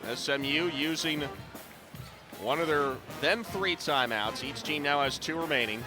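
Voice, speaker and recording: A middle-aged man calling live basketball on radio in clipped running descriptions that can rise to excited calls. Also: fairly high pitch, a little slow, over music. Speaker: play-by-play basketball announcer. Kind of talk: live radio sports commentary. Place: courtside in a basketball arena.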